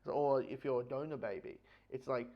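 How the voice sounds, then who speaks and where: A man's voice: mid-range voice, fairly even intonation, fairly quick. A man giving a casual spoken comic book review, rambling and repeating phrases as he goes. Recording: dull, lo-fi audio.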